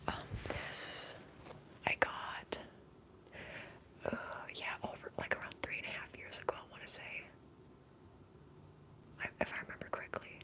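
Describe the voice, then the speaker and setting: A woman streaming ASMR, whispering very close to the microphone in a breathy voice as she chats softly to viewers and repeats soothing trigger words. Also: poor audio. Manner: soft, repetitive trigger words.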